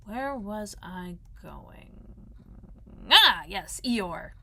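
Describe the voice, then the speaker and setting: A woman's voice: mid-range voice, lively intonation, normal speed, sharp tone. Woman playing a simple, earnest storybook bear. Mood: pondering. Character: gentle slow-witted male teddy bear, earnest.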